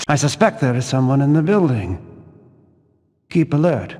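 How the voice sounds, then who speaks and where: An actor playing a watchful, excitable zealot guard in a fantasy game, with an emphatic, declamatory delivery. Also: male voice, mid-range voice, moderate pace. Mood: wary, warning. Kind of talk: scripted fantasy video game barks. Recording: long reverberant hall echo.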